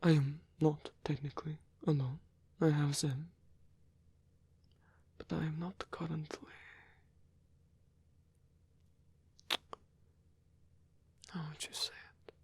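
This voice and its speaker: A man playing a lonely, gentle old vampire, murmuring haltingly and breathily in an intimate ASMR roleplay. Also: on the high side, level tone. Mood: shy, wistful. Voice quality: breathy, whispery.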